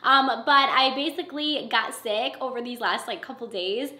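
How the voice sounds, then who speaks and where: High-voiced raspy woman with a cold. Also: normal speed, echoey room. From Michigan. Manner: chatty and excitable, gushing over products. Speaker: beauty YouTuber. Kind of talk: casual unboxing vlog.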